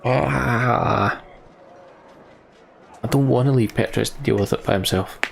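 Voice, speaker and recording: Creaky-voiced man doing game commentary. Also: mid-range voice, unhurried, a little hiss or hum. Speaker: let's play host. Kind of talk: let's play commentary, reading game text aloud.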